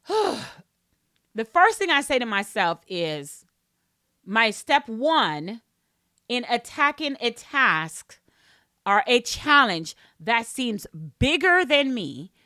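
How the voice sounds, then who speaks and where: A woman's voice: on the high side, pushed and bright, dry recording, studio quality. A woman with a strong Jamaican accent, a podcast host teaching business mindset in a casual, emphatic way, often repeating and restarting phrases.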